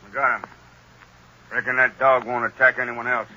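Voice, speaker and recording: An actor playing a drily polite, unhurried country sheriff in 1930s radio style. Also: male voice, mid-range voice, level tone, sharp tone. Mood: dry, satisfied. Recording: noisy, muffled 1930s radio recording.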